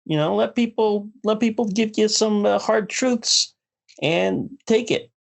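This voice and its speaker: Slightly high-voiced man hosting casually. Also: unhurried, vocal fry. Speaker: host. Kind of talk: casual talk show hosting with opinionated rants.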